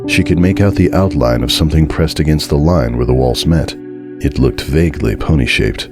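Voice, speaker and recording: A man giving a dramatic, scripted narration of a horror story in a measured, deliberate and suspenseful way. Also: a bass voice, over music.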